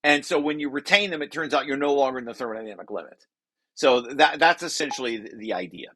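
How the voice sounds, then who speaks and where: A man's voice: medium pitch, fast talker, bright, forceful tone, a little hiss or hum. A man presenting a research paper draft informally to colleagues, repeating and rephrasing key phrases as he explains.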